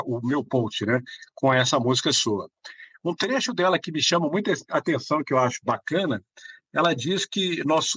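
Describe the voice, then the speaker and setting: A man's voice: on the high side, fairly bright tone, slightly rough, dry recording. A man from Belo Horizonte with a Minas Gerais Brazilian accent, answering casually as a podcast guest in a rambling way, often adding checking tags. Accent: Brazilian Portuguese, Minas Gerais. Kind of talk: casual conversational interview answers.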